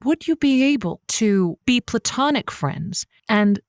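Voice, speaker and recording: A woman's voice: fairly high pitch, animated, measured pace, no room sound. Woman acting as radio call-in host lady, sympathetic n curious, bit theatrical, close dry sound. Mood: curious, encouraging. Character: chatty, sympathetic, morbidly curious female radio advice host. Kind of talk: improvised comedic radio call-in.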